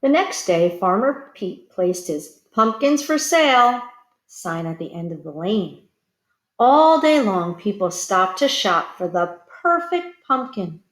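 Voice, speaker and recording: A woman reading a picture book aloud to children like a teacher, expressive and pausing to put questions to young listeners. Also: fairly low pitch, roomy sound. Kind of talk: children's read-aloud storytelling.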